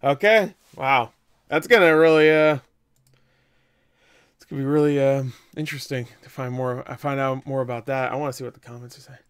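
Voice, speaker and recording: A man's voice: medium pitch, a little fast, clear, resonant tone. A young man giving casual reaction commentary, exclaiming, swearing and tossing in joking asides. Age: young adult. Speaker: reaction video host. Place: small indoor room at home.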